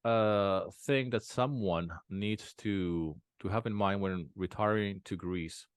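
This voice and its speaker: A man's voice: on the low side, slow pace, clear, resonant tone, no room sound. A man as an interview guest, talking casually at home with many restarts and fillers. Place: home in a small town.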